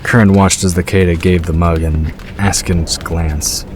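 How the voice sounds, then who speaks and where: A man's voice: sits low, fairly even intonation, measured pace. A man reading scripted fantasy fiction as the narrator of a story. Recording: clear background ambience noise.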